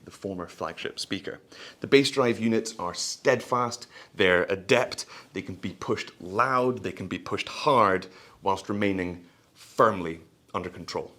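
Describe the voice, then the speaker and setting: A man's voice: fairly low pitch, fairly bright tone. A man giving a product launch presentation as a company presenter, in a polished, florid sales manner with hesitant asides.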